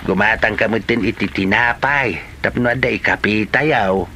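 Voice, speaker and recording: A man's voice: on the low side, normal speed, pushed and bright, a little hiss or hum. A man acting a mild, homely village father in an Ilocano comedy drama. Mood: homely, requesting.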